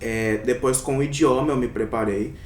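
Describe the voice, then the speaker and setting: Young adult man from Brazil, interview guest; hesitant and reflective, mid pitch, a bit distant from the mic in a roomy space. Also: steady tone, moderate pace. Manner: reflective, many hesitations and fillers. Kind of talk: casual interview. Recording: echoey room, somewhat off-mic, faint rumble.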